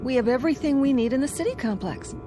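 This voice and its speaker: A woman's voice: sits high, expressive, quick pace, over music. A woman acting in a radio drama as a fussy, cautious wife with a prim, reluctant manner.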